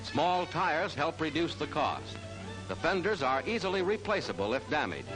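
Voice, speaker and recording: A man's voice: a little high, very animated, moderate pace, music in the background, low-quality recording. A man reads scripted 1940s newsreel narration in a formal, declarative announcer style on a vintage soundtrack.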